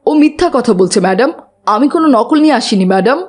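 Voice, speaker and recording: Lady doing a little schoolboy for a kids cartoon, highish voice, urgent tattletale. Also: lively intonation, fast talker, a little mellow. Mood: indignant. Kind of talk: children's cartoon voice acting.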